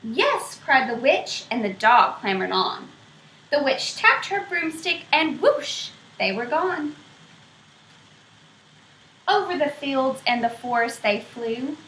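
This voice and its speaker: Woman, picture-book reading, expressive, high bright voice, roomy echo. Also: slight background noise. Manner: expressive, pausing to quiz young listeners. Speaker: storybook reader.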